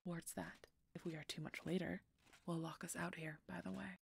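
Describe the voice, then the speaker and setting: Woman as a brooding low-voiced female werewolf knight. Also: level tone, normal speed, creaky, slight background noise. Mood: guarded, wry. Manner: terse, guarded, low murmuring, often whispery. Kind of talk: fantasy audio roleplay acting.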